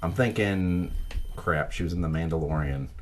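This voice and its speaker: A man co-hosting a podcast in casual chat, wisecracking and quick to question the others. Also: low voice, slight background noise. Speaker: podcast co-host.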